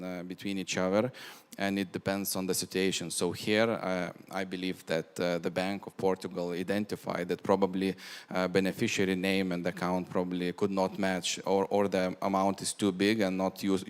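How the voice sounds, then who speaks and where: A man giving a measured, explanatory conference talk and answering audience questions. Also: deep voice, smooth. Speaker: conference speaker on bank fraud prevention. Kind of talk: presentation and audience Q&A. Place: indoor event hall with long reverb. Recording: reverberant hall sound.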